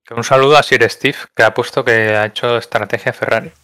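A man's voice: mid-range voice, steady tone, brisk, pushed and bright, smooth. A man with an accent from Spain, chatting casually as a co-host and relaying messages from a live chat. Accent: Spanish from Spain.

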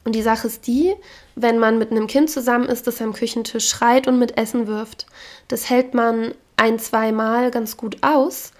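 Woman with a cold, hoarse, fairly high voice, frank casual podcast talk. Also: steady tone, moderate pace. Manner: frank, opinionated. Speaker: podcast host.

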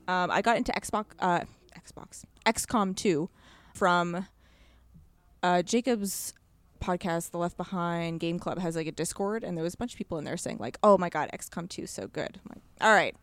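A woman's voice: lowish voice, vocal fry, no room sound. A woman chatting casually as a podcast guest, speaking effusively and with emphasis about what she likes. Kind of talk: casual chat.

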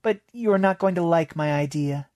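A man voicing a frantic, scheming young female student who rambles in a high, pleading voice. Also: normal speed, a little mellow, no echo, clean studio sound, natural voice. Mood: urgent.

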